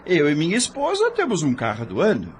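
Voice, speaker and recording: A man's voice: on the high side, wildly swooping pitch, a little fast, slight background noise. An actor playing a jovial, boastful, worldly middle-aged man in an audio drama, hearty and self-satisfied, given to chuckling. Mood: boastful, challenging.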